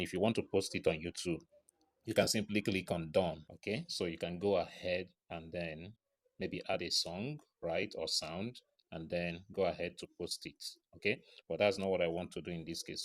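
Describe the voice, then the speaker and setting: Low-voiced man giving a casual tutorial. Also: fairly even intonation, moderate pace. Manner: frequent check-in tags like right and okay. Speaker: tutorial presenter. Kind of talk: casual step-by-step screen tutorial narration.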